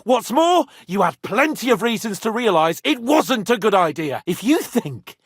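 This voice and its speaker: An actor playing a haughty, hot-tempered young medieval nobleman in a video game, with a husky, rough-edged voice and an imperious, sardonic delivery. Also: sits high, big pitch swings, normal speed, no room sound, clean studio sound, heavily acted. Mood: outraged. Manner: imperious, sardonic, swings between sneering and shouting. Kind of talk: dramatic video game voice acting.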